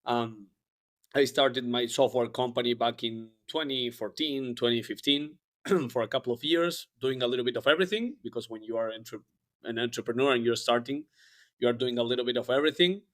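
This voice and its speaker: Man in his thirties, guest on a podcast, chatty and rambling, mid-pitched. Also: fairly quick. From Argentina. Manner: rambling, frequent fillers and restarts. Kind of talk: casual interview chat.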